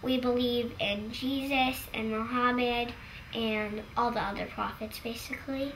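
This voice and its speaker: A young woman explaining her beliefs simply and earnestly in an interview, pausing often. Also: a little high, steady tone, fairly bright tone, slight background noise, rough recording. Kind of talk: documentary interview.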